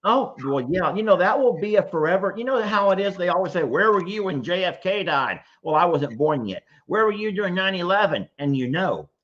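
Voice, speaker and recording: Man, show host, chatty, laughs easily, fairly high voice. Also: lively intonation, a little fast, few pauses, a bit bright. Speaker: show host taking callers. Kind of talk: live call-in chat. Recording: slightly muffled audio.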